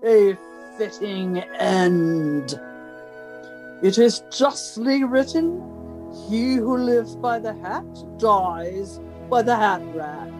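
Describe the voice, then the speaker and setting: A woman's voice: mid-range voice, animated, very slow, music in the background. A woman playing an imperious, theatrical great detective with a pompous, declamatory delivery in an old-time radio mystery parody. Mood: grandly triumphant.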